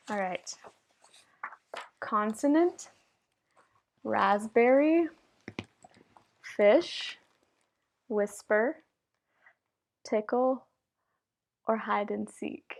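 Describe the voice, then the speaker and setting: Female host, slow, chatty, hesitant, with some vocal fry. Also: a little high. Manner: chatty, hesitant, lots of fillers. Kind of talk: casual chat.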